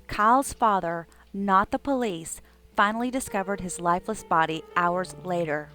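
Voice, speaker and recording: A woman's voice: on the low side, moderate pace, bright, forceful tone, soft background music. A woman reading scripted documentary narration.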